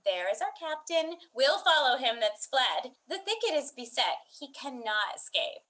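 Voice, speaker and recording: A woman's voice: high-pitched, very animated, moderate pace, sharp tone, exaggerated voice. A woman playing a bossy young female outlaw with sing-song valley-girl speech.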